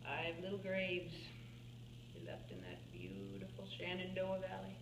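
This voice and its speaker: Slow, halting elderly farm woman, acted by a woman. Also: on the low side, sing-song. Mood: subdued, wistful. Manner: halting, musing, with small chuckles and pauses. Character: elderly folksy farm-woman painter, plainspoken and wryly reminiscing. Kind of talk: theatrical monologue in character. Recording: roomy, distant mic, faint background noise.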